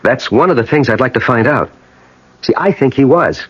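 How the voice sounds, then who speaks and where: A man's voice: medium pitch, animated, normal speed, a bit bright, breathy edge. An actor in a 1980 radio drama playing a restless, brooding advertising man, earnest and dramatic in delivery. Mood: intense, brooding. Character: restless, brooding advertising man hunting his family's past. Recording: dull old radio broadcast recording.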